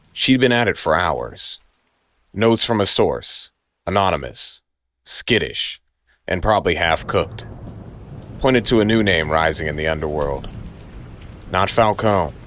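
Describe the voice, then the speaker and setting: Low voiced guy doing moody noir narration, short dramatic phrases, rain noise behind him. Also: a bit bright. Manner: brooding, dramatic, clipped phrases.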